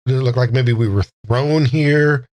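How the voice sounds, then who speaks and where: A man's voice: medium pitch, normal speed, a little mellow, no echo. Tentative man playing a tabletop game, dry sound. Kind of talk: casual in-character roleplay and table talk.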